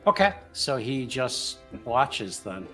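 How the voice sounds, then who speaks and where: A man with a bright voice talks slowly as a player in a tabletop game, his pitch swinging widely. He is casual and hesitant, thinking aloud between short phrases. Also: big pitch swings, faint music behind.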